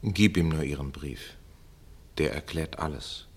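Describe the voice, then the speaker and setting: A male actor playing a grave, steady doctor in a German radio drama, sober and measured, with a husky voice. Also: extremely low pitch, full character voice. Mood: consoling. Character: grave, steady doctor consoling a bereaved woman.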